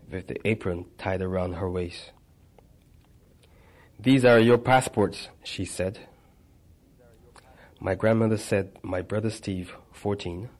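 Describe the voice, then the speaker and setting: A man reads a personal memoir aloud in a measured way. His voice sits low and stays flat in tone, and he stumbles and restarts now and then. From Barbados. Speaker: narrator.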